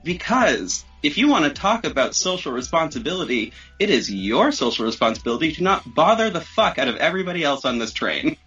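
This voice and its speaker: A man's voice: on the high side, a little fast, hardly any pauses, breathy edge, lo-fi sound, faint music behind. A man telling a story casually on a podcast, swearing freely and acting out what people said. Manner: expressive, acts out quoted remarks. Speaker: podcast speaker.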